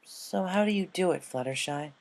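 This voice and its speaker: A man's voice: high voice, moderate pace, gravelly, voice pushed up. A man voicing a young female cartoon pegasus, a stubborn, troubled athlete, in a casual and frank way for a fan story. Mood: searching. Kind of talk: character dialogue in fan fiction reading.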